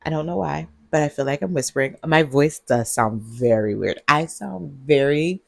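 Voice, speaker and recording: Drowsy, husky-voiced woman rambling. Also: low voice, moderate pace, no room sound, studio quality. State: groggy on cold medicine. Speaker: vlogger. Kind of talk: casual vlog monologue. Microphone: earbuds mic.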